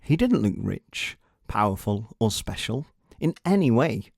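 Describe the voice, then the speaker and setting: A man's voice: lowish voice, big pitch swings, unhurried, creaky, no echo. Male storyteller, close dry sound. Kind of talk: scripted children's story narration.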